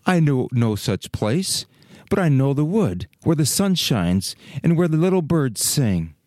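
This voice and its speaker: A man's voice: fairly high pitch, sing-song, measured pace, darkish tone, dry recording, studio quality. A man voicing a naive, vain and wistful young fir tree in a children's storybook, musing aloud. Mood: nostalgic. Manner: musing aloud in rhetorical questions. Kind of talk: dramatized children's storybook reading.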